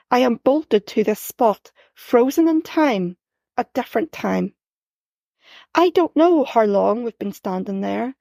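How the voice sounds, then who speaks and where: A woman reading her own first-person story aloud, expressive and rising sharply for the quoted shouts. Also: fairly high pitch, creaky, no echo, studio quality.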